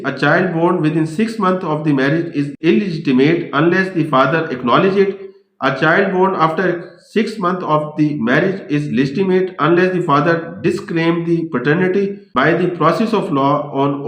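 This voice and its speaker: A man with a Pakistani accent giving a law lecture, reading out points deliberately and then explaining them. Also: on the high side, a bit bright. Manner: deliberate reading in English, switching to quicker Urdu explanation. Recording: echoey room.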